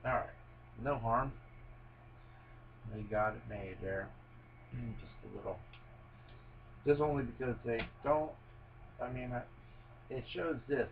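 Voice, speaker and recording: A man's voice: lowish voice, narrow, tinny audio, a little hiss or hum. A middle-aged man rambling casually to camera as he builds a toy set at home, poking fun at his own mistakes. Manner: rambling, self-deprecating, many fillers and restarts. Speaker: hobbyist building a Lego set on video. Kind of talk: casual running commentary while building. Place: at home, on a table, with a cat nearby.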